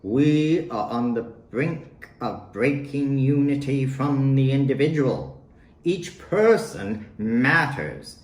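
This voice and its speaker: A man reading his own poems aloud in deliberate, short declarative phrases with pauses between them. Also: mid-range voice, animated, darkish tone. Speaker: poet reading own work. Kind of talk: spoken poetry reading. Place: at home in a residence. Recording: roomy home recording with faint noise.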